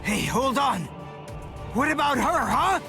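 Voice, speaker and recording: An actor playing a brash, hot-headed fighter in an anime game, blunt and urgent. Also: male voice, extremely high pitch, lively intonation, takes it slowly, over music, full character voice. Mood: alarmed. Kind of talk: anime video game dub acting.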